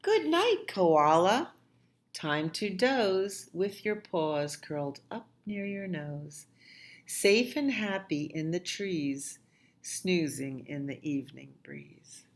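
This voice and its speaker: Woman, low voice, unhurried pace, gentle sing-song, children's storytime reader. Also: animated. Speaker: children's librarian and storytime host. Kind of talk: children's storytime with read-aloud and nursery rhymes.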